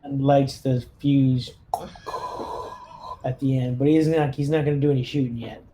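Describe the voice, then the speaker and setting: A man's voice: medium pitch, fairly even intonation, moderate pace, background noise. A man playing a tabletop roleplaying game, casually narrating his character's moves and chatting at the table.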